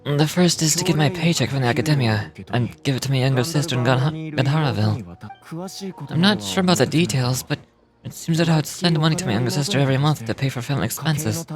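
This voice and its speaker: Woman voicing a weary low-voiced man with amnesia. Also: animated, quick pace. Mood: halting, earnest. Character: weary amnesiac man, escaped experiment captive, humble and earnest. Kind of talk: video game dialogue read aloud. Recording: game music behind.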